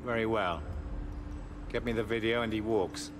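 A man's voice: fairly low pitch, steady tone, background noise, natural voice. A man playing a cool, formal police commander. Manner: formal, measured, clipped. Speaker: police superior. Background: game score music.

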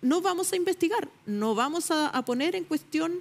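A middle-aged woman journalist arguing her point on a television panel debate, emphatic and pausing often with fillers, recorded on a lapel mic in a studio. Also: very high-pitched, expressive. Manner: emphatic, searching for words with many fillers.

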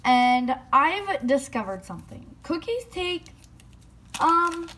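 A woman's voice: high-pitched, big pitch swings, takes it slowly, fairly bright tone, a little hiss or hum. A young woman rambling casually and mocking herself while baking in her home kitchen. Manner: chatty, self-mocking, rambling. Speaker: vlogger. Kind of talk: casual vlog narration.

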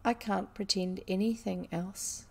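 A woman reading a storybook, voicing a grave, sad young schoolgirl in a quiet, restrained way. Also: mid-range voice, fairly even intonation, unhurried, creaky, slightly rough. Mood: sad, resigned.

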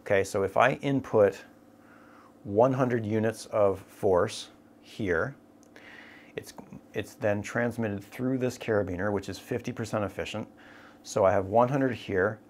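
Lower-pitched man, patient instructor talking through a rope demo, brief asides to the viewer. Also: a little slow, darkish tone. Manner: explanatory, step-by-step, frequent "right?" checks. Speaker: climbing instructor. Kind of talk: tabletop demonstration lesson.

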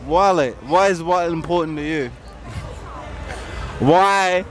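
A man's voice: on the high side, slow pace, a faint crowd. A man doing street interviews at night, playful and repeating his questions. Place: busy city street at night.

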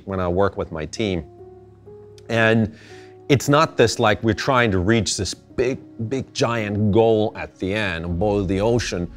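A middle-aged man from Finland, answering interview questions thoughtfully, with halting restarts. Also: fairly low pitch. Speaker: interview guest. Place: indoor gallery space.

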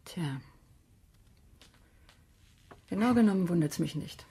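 An actress playing a curt, sour, composed bereaved sister in a German radio crime drama, with a husky voice. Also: female voice, lowish voice, lively intonation, slow, mellow, exaggerated voice. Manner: curt, guarded.

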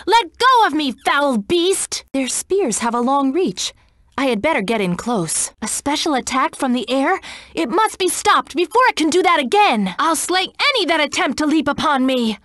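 A young female cat knight from a video game, with a high, very animated voice. She is proud and composed, phrasing things in a formal, old-fashioned and haughty way. The sound is close and dry, free of room echo. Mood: fierce, battle-ready, then stern, disdainful. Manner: formal, archaic, haughty diction. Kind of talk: video game character voice clips.

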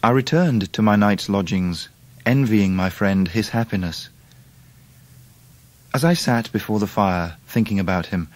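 A man's voice: lowish voice, no room sound, natural voice. An actor playing a reflective, melancholy grown man, narrating his own life in a radio drama. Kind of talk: dramatised first-person narration.

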